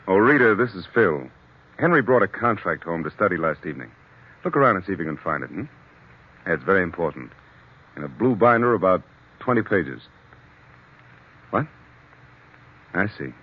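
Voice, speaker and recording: Low, husky brisk business partner. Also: male voice, sing-song, full character voice. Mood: businesslike. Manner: brisk, insistent, questioning. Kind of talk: 1940s radio drama acting. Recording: muffled vintage radio recording.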